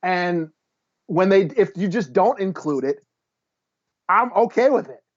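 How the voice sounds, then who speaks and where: A man's voice: high voice, animated, a little slow, dull audio. A man co-hosting a podcast, chatting casually in a blunt, profane way. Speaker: podcast co-host. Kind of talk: casual chat.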